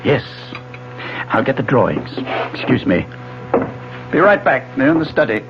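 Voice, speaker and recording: An actor playing a suave, condescending middle-aged husband and researcher in a 1970s radio drama, talking in a polished, lecturing way. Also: male voice, medium pitch, big pitch swings, normal speed, over music, close to an everyday voice. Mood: smooth, insistent. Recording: muffled 1970s AM radio broadcast.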